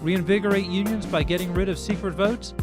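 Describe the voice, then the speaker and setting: A man's voice: high-pitched, fairly even intonation, brisk, over music. A man narrating a scripted video essay as its host, reading in the style of political media commentary.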